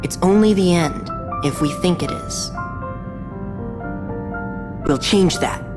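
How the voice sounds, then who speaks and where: A woman's voice: lowish voice, takes it slowly. A woman voicing an earnest, defiant young hero in a video game, sincere and determined. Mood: defiant resolve. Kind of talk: scripted video game drama acting. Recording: music under the voice.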